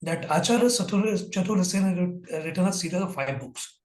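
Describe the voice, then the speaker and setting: A middle-aged man with an Indian English accent speaks in a husky, fairly high voice. He retells an old story in a loose, rambling way, full of "you know" fillers. His voice comes over a video call from an echoey room. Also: brisk, a little mellow. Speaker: guest.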